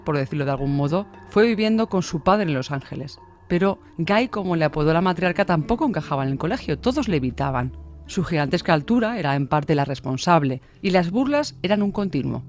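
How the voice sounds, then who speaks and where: A man narrating a scripted true-crime story as its narrator. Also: fairly high pitch.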